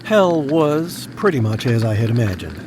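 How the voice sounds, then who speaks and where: Over noisy background ambience, a cocky businessman played by a male actor talks in a mid-pitched voice. He is wry, self-satisfied and stubborn, in the manner of an audio drama. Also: very animated, moderate pace, a little mellow, natural voice. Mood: wry storytelling. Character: smug dead tycoon, cocky, stubborn and wry. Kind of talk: first-person audio drama narration and dialogue.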